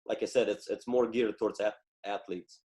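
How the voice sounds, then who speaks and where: A man from Bulgaria, a native Bulgarian speaker in his thirties, talking as a podcast guest with frequent pauses and fillers. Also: on the low side, fairly even intonation, warm tone. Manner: hesitant, many fillers and restarts. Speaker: guest, former Olympic wrestler. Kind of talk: podcast interview.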